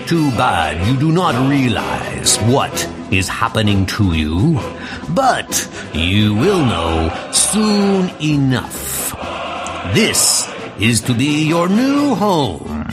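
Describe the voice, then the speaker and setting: A man's voice: mid-range voice, wildly swooping pitch, drawn-out words, music in the background, heavily acted. A man voicing a cold male captor in a comic audio drama, speaking in a threatening, commanding way. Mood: menacing.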